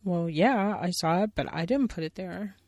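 A woman's voice: lowish voice, normal speed, a little mellow, no room sound, clean studio sound. A woman voicing a laid-back, sarcastic young male bear in a storybook play. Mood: offhand. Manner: offhand and sarcastic.

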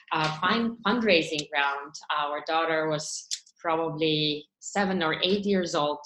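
A woman in her forties from Bulgaria, a founder answering interview questions in a measured way, with small laughs and fillers. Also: low voice, a bit bright, roomy sound, low-quality recording. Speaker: startup founder and CEO.

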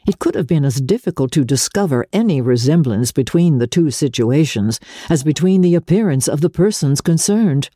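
Woman narrator for classic novel: dark, husky voice, close dry sound, expressive measured storytelling. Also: low-pitched, no echo. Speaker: audiobook reader, show host. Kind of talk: dramatic reading of classic literary fiction.

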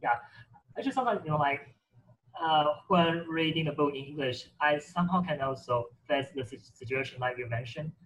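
A man's voice: a little high, fairly quick, a bit bright, a little hiss or hum, low-quality recording. Hesitant man, Mandarin first language. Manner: hesitant, many restarts and fillers. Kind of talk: casual conversation about reading.

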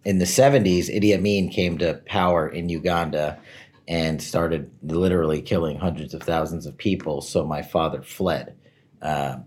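A deep-voiced man in his forties tells his own career story in an interview. He sounds candid and relaxed, gently mocking his own best-laid plans. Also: moderate pace. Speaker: interview guest.

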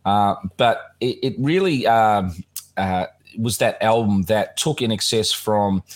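A man hosting a music podcast, talking casually with a slightly croaky voice and lots of fillers and restarts. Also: fairly low pitch, slow pace. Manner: rambling, many fillers and restarts. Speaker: podcast host.

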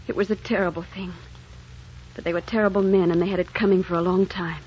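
An actress playing a young, loving, troubled frontier heroine, soft-spoken, in a 1940s radio drama. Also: female voice, on the low side, fairly even intonation, fast talker, lightly acted. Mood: soft, tender. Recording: muffled 1940s radio recording, music behind.

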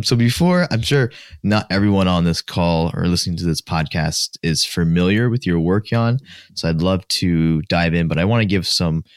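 A man's voice: deep voice, no room sound, studio quality. A man hosting a podcast, casual and upbeat, laughing often between questions. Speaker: podcast host. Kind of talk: casual interview chat.

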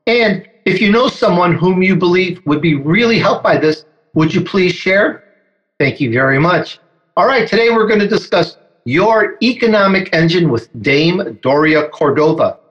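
A man's voice: high-pitched, expressive, moderate pace, fairly bright tone, muffled recording. A man hosting his interview show, emphatic and eager as he builds up his guest. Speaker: podcast host.

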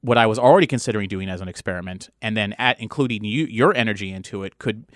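A man's voice: on the low side, fast, a bit bright, dry recording. Rambling man in a dry recording. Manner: rambling, discursive, breaks off and rephrases thoughts. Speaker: game master. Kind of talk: casual chat.

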